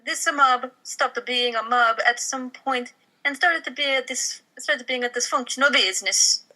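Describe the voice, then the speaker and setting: A woman with a piercingly bright voice on the high side takes part in a casual comedy table read. She is informal and self-deprecating between her lines. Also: normal speed, rough recording. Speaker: podcast cast member reading a script.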